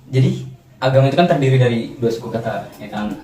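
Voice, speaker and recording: A man's voice: mid-range voice, animated, fast, mellow. A man in his twenties, a student, explaining his views casually in slangy speech with restarts. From Indonesia. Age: 20s. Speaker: student interviewee. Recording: roomy distant mic with music.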